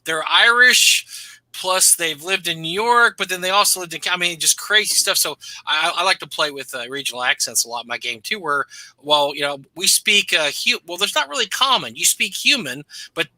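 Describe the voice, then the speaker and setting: A man's voice: high-pitched, animated, moderate pace, pushed and bright, no echo, rough recording. A man co-hosting a casual livestream, talkative and often restarting his phrases. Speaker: host.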